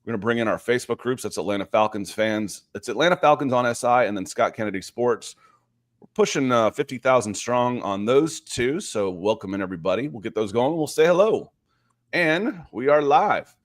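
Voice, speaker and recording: A man's voice: medium pitch, animated, fairly quick, no echo. Man in his fifties, dry close sound. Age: 50s. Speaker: podcast host. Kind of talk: casual live sports talk.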